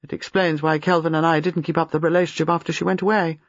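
A narrator voicing a reserved older doctor who speaks in measured, hesitant phrases. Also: mid-range voice, flat delivery, no room sound, close to an everyday voice. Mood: guarded. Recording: dull lo-fi dry recording.

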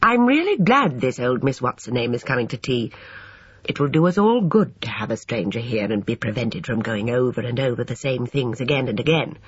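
Narrator doing a polite tired lady, firm but mild, storybook reading, slightly husky. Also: mid-range voice, big pitch swings, fairly quick, no echo, highs cut off. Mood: weary. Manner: genteel, mildly protesting. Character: polite, weary English gentlewoman, firm peacemaking sister.

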